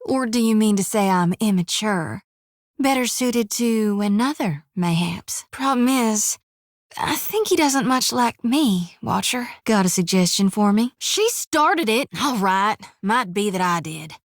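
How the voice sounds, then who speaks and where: A stubborn young soul-harvesting priestess, with a folksy, homespun way of talking full of country sayings. Her voice sits at an ordinary middle pitch for a woman. It is recorded close and dry, with no room sound. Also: animated, unhurried, dry recording. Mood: wry. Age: 20s. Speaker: game companion. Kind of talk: game voice acting.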